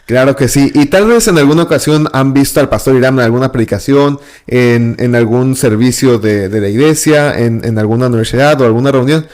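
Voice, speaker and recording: A man's voice: medium pitch, a little fast, few pauses, a little mellow. A man with a Mexican Spanish accent hosting a church podcast, asking long, friendly questions that are full of "este" fillers. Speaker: podcast host. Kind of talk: casual interview hosting.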